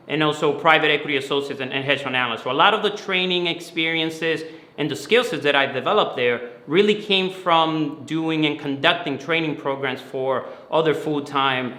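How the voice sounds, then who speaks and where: A man with a bright, forceful, slightly high voice explains himself earnestly in a conversational interview. He often doubles back on words as he lays out his points, and the room adds a clear echo. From Dominican Republic, moved to New York City at eleven. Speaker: guest, finance mentoring company founder. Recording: roomy, echoey sound.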